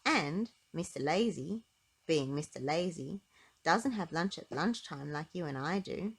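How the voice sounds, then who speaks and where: A woman narrating a children's storybook aloud in an expressive, emphatic way with clear phrasing. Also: sits low, a little slow.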